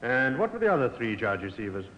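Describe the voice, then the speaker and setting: An actor playing a firm, businesslike police inspector, clipped and authoritative, in an old radio crime drama. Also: male voice, mid-range voice, sing-song, a little fast, close to an everyday voice. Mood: brisk, businesslike. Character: firm, businesslike male Scotland Yard inspector. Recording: noisy, muffled old radio recording.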